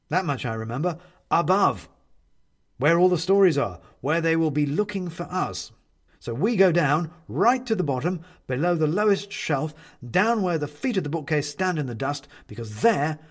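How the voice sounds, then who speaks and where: An absent-minded, theatrical gentleman from a storybook, acted out by a man. His voice rides high and swoops about as he makes cheerful, grandiloquent pronouncements and then falters. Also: animated, normal speed. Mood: urgent, declaiming. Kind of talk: storybook character reading.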